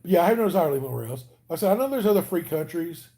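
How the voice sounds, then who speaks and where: A man's voice: fairly high pitch, lively intonation, rapid-fire, mellow. A man hosting a casual live stream, chatting and answering viewers by name in an easygoing way. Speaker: channel host reading live chat.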